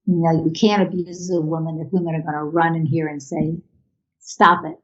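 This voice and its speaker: Low-voiced woman on a dull video call. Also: level tone, moderate pace. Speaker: interviewed video artist. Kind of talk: reflective interview answers.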